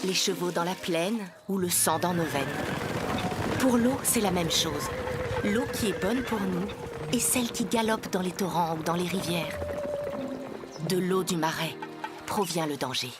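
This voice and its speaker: A woman voicing a calm, wise mother in an animated cartoon, explaining things in a measured, solemn way. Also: on the low side, natural voice. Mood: solemn, instructive. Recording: loud music under voice. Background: loud music.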